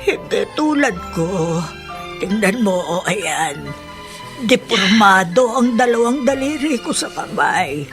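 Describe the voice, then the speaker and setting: An actress playing a resigned, motherly older woman in a radio drama, soft-spoken and halting, with a Filipino accent. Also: mid-range voice, sing-song. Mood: encouraging. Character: older woman, healed leprosy patient, motherly, resigned, living alone. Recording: music under the voice.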